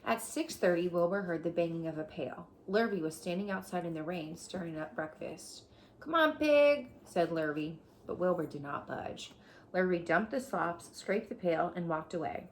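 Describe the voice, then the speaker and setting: A woman reads a children's story aloud like a teacher, pausing to explain things to young listeners. Her voice sits on the low side and is noticeably creaky. The recording carries faint background noise. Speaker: teacher reading a book aloud to pupils.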